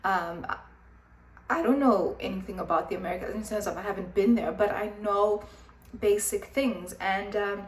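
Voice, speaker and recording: A woman's voice: mid-range voice, moderate pace, a little hiss or hum. A young woman from Zimbabwe who grew up in South Africa, giving frank, emphatic opinion commentary with many fillers. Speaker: vlogger. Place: room with background noise. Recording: echoey room, voice from a distance.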